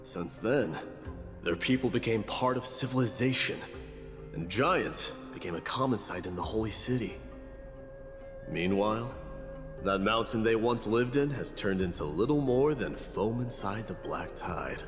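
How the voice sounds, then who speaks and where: A brooding warrior heir from a fantasy game, low in pitch and fatalistic. He speaks with measured, solemn resolve, like a storyteller, in a long hall reverb. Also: animated. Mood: grave, measured. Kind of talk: dramatic video game dialogue. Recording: long hall reverb, clear background music.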